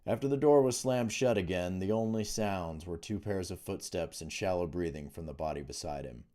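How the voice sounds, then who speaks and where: A man narrates a fantasy novel in a low register. His tone is mellow and soft-edged, delivered as scripted storytelling by an author. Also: moderate pace. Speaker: author reading own book. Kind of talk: audiobook narration of fantasy fiction.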